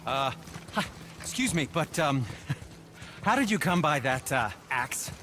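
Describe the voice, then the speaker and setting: A man's voice: on the high side, sing-song, slow pace, sharp tone, slight background noise, heavily acted. An actor playing a polite, proud but nervous dwarf craftsman in a video game, stammering and hesitant. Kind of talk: dramatic video game acting.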